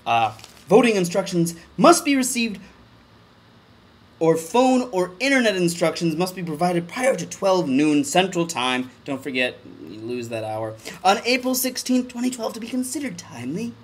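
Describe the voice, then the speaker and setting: A man giving a mock-dramatic comedic reading of a formal document, with overblown emphasis and deadpan muttered asides. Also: sits high, moderate pace, slightly rough.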